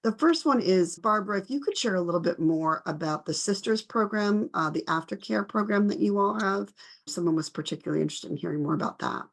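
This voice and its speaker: A woman's voice: medium pitch, fast talker. A woman moderating an online panel, reflective and appreciative as she thanks speakers and reads out questions.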